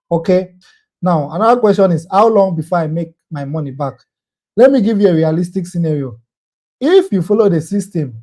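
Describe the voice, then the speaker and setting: A man, an online course instructor giving an earnest sales pitch, answering common questions and often repeating his phrases. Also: warm tone. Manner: earnest, persuasive, repeats phrases, ends points with "okay?".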